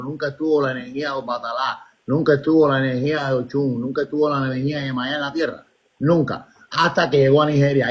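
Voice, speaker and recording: A man in a casual religious debate, talking emphatically with halting starts and repetition. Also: fairly high pitch, husky. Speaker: discussion participant.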